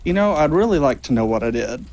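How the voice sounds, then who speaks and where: A man's voice: medium pitch, sing-song, fast, darkish tone. An actor playing an earnest, easily exasperated young man, a concerned friend, in a naturalistic audio drama. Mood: uneasy.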